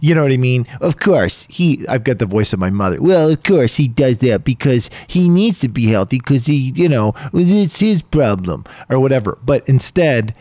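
A man co-hosting a casual talk show, animated, laughing often and acting out what people say. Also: medium pitch, no echo. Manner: animated, laughs often, quick to act out quotes. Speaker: podcast co-host. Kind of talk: casual chat.

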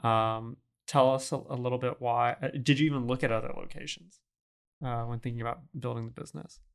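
A man hosts an interview in a mid-pitched voice with a gravelly texture. He asks curious questions and keeps up a steady stream of murmured agreement while his guests talk. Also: a little fast. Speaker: podcast host. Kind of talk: interview conversation.

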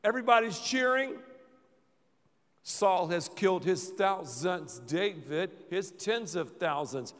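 A man preaching a sermon as pastor, playful and dramatic, often dropping to a low murmur. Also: expressive, slow, fairly bright tone. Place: large church hall. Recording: reverberant church hall.

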